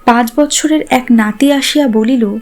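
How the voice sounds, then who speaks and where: A woman's voice: fairly high pitch, normal speed, a little mellow, faint music behind. A woman narrating a literary story a script.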